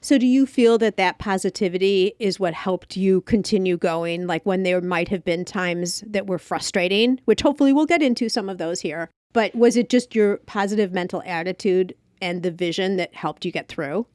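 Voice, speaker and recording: A woman's voice: mid-range voice, normal speed, hardly any pauses, darkish tone, no echo, clean studio sound. A woman hosting a conversational podcast interview in a chatty, affirming, casually self-deprecating way. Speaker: podcast host.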